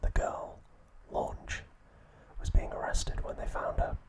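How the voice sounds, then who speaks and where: A man speaks entirely in a whisper, in the style of ASMR narration. He relays trivia like a story, softly and without rushing, keeping the delivery hushed and breath-led. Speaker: ASMR host.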